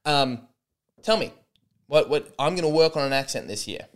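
A man's voice: on the high side, expressive, moderate pace. A young man hosting a solo comedy podcast, rambling and sarcastic with many false starts.

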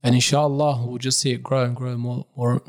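A man's voice: medium pitch, a little fast. Young Iraqi-born man telling his story. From Iraq. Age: young adult. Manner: relaxed storytelling, sprinkled with Arabic phrases. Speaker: religious reciter guest. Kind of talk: casual podcast interview.

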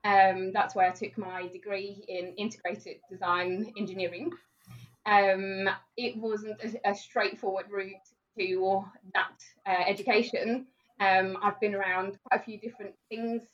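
A woman's voice: mid-range voice, level tone, unhurried. A woman from Denmark, a podcast guest in her thirties, chatting casually with lots of ums and laughs. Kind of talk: casual conversation. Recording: dull lo-fi sound.

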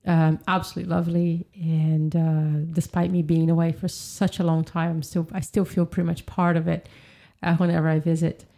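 A woman from Brazil, a podcast guest chatting casually, laughing often and filling pauses with "um". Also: low voice, level tone, gravelly, dark tone. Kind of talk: casual chat. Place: home in London.

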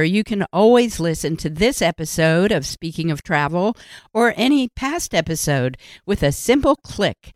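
A woman hosting a radio travel show, introducing her guest in a bright, welcoming announcer delivery. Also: fairly low pitch, dry recording, studio quality.

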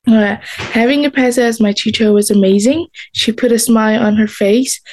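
A woman's voice: fairly high pitch, moderate pace, a little mellow. A woman from Myanmar, a non-native English speaker, who shares her story in simple, earnest sentences with small slips. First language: not English. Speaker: teacher and former student.

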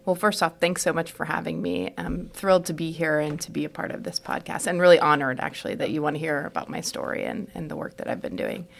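Creaky-voiced American woman, lowish pitch. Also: fast talker, few pauses. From Houston, United States. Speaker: podcast guest, trauma surgeon. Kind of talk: conversational personal narrative in an interview.

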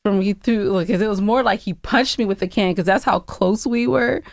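A woman's voice: medium pitch, normal speed, vocal fry, dry recording, clean studio sound. A woman co-hosting a podcast, telling stories in casual, playfully argumentative banter. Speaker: podcast co-host.

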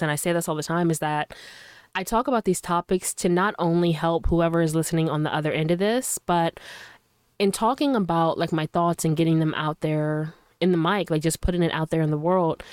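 A woman talks into a close microphone on her podcast, her voice a little hoarse from a lingering cold. She sounds smooth and full-toned in a dry room, lowish for a woman. She rambles in a casual, filler-heavy way and sounds earnest and encouraging. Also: no room sound. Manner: loose and chatty, full of fillers. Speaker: podcast host. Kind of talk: casual solo podcast talk. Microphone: studio microphone.

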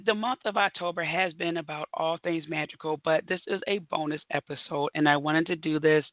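A woman hosting her own podcast, her voice raspy and hoarse as she recovers from bronchitis, talking in a chatty, upbeat way with frequent laughs. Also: low-pitched, steady tone, fairly quick, dry recording, narrow, tinny audio. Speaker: podcast host. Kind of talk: solo podcast monologue.

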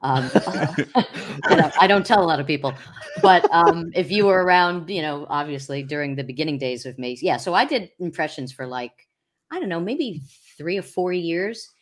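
A woman in her sixties with a deep voice, a comedian guest chatting casually, with hesitations and teasing. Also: normal speed. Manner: relaxed, hesitant, full of ums and teasing. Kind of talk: casual interview chat.

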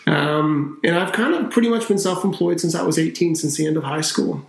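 A man in his thirties from Canada, a company founder talking about his life in a casual podcast interview, relaxed and chatty with lots of fillers. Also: a little high, a little fast, creaky. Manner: relaxed, talkative, with frequent fillers and restarts. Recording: roomy echo.

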